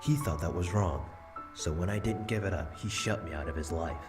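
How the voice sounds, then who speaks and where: A man playing the kindly, proud spirit of a grandfather in a visual novel, gentle and earnest. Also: low-pitched, a little fast, music in the background, natural voice. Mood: earnest, regretful. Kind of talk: visual novel character dialogue.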